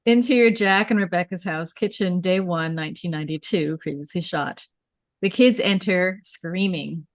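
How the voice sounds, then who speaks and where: A woman's voice: on the low side, normal speed, dry recording. A woman narrating a script at a table read, reading out scene headings and stage directions.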